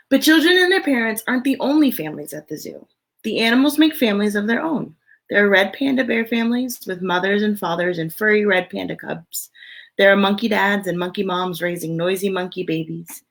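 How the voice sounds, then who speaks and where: A woman with a noticeably creaky, mid-pitched voice reads a children's picture book aloud. She speaks simply and emphatically for young listeners, in a storytime style that slips easily into casual chat. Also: low-quality recording.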